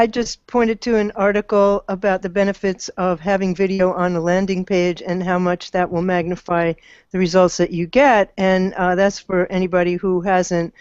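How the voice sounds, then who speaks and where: An older woman with a smooth, resonant voice and very even intonation. She explains things in a measured way through dry, slightly dull call audio. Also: fairly low pitch, no room sound. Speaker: panelist. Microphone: video call.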